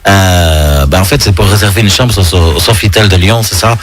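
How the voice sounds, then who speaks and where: A man's voice: low voice, normal speed, background noise, lightly acted. A man putting on a chatty, doting Belgian man who rambles earnestly in French-speaking Belgian style on a prank phone call. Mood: polite, chatty. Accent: Belgian French, put on. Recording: radio prank call with faint music.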